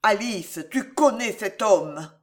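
A man voicing a distraught, suspicious young count with a gruff, forceful, demanding delivery in a dramatic audiobook. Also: high-pitched, lively intonation, unhurried. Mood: distraught suspicion.

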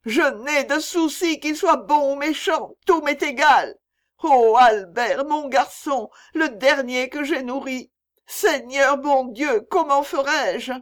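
Woman performing an anguished old mother, high and wailing. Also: slow pace. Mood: grief-stricken. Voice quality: broken, wailing voice. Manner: sobbing, pleading. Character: anguished old enslaved mother, pleading and desperate.

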